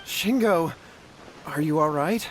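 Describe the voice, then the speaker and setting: A man's voice: a little high, expressive, slow pace, a little hiss or hum, low-quality recording. A man voicing an anxious young brother in a video game, worried and polite. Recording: game audio with music behind.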